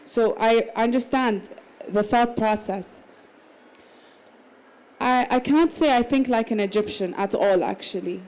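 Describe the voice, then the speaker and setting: A woman's voice: fairly high pitch, measured pace, darkish tone, poor audio. A woman in her thirties, raised in the Emirates, talking casually as a panel guest at a literary salon. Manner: conversational, with restarts and small laughs. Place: literary salon at a book fair.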